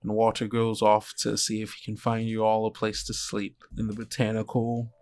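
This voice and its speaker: A man improvising descriptive narration as game master of a tabletop roleplaying game, in a halting way. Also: lowish voice, completely flat delivery, darkish tone.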